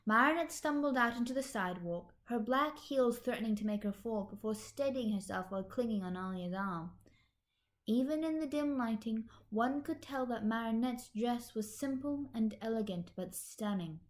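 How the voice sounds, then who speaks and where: A woman's voice: a little high, normal speed. A woman reading scripted story narration as an amateur fan fiction narrator.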